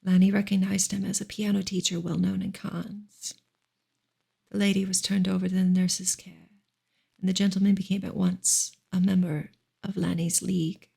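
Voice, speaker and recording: A woman reading a novel aloud as soft ASMR, breathy and partly whispered, in a gentle, measured storytelling manner. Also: medium pitch, little pitch movement. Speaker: ASMR reader and channel host.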